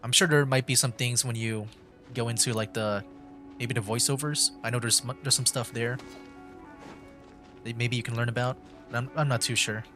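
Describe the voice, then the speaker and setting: A man's voice: mid-range voice, steady tone, moderate pace, long pauses. A young man livestreaming a video game, giving casual commentary in hesitant, trailing phrases. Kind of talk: casual game commentary, reading dialogue choices aloud. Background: game music.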